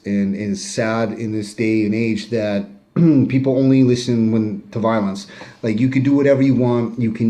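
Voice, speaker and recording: Middle-aged guy, low mellow voice, rambly and full of you knows, swearing, talking in his kitchen. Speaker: rapper interviewee. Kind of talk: casual self-recorded interview. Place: home kitchen.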